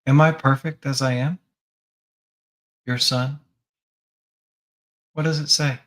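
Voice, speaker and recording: A man leading a guided spiritual meditation as a teacher, gently prompting the listener with reflective questions. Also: mid-range voice, steady tone, slow.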